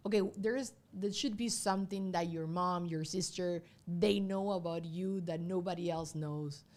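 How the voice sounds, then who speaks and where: A woman's voice: fairly low pitch, moderate pace, darkish tone. Woman host with a Spanish accent, native Spanish speaker; chatty, giggly, rambling livestream interviewer. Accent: Venezuelan Spanish.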